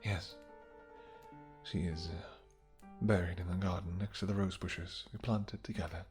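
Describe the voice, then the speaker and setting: Man acting low-voiced, tender vampire king. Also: fairly even intonation, soft background music. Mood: tender, hesitant. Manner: soft, courtly, hesitant, halting phrasing. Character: tender male vampire king, winged, regal and devoted lover. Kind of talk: romantic fantasy audio roleplay acting.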